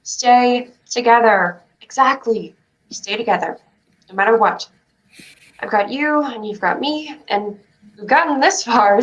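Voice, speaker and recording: A woman's voice: mid-range voice, dull audio, lightly acted. A woman playing an anxious, insistent young girl in a staged play reading, earnest and protective. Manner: earnest, flustered, pressing.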